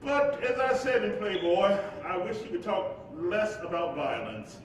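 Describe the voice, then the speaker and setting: Civil rights preacher, eloquent, slow oratorical delivery, reflective, stage play, distant echoing hall. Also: male voice, sits high, expressive. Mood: reflective, wry. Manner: measured, deliberate, preacherly cadence. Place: live performance in an echoing hall. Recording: very echoey hall, distant mic, faint noise.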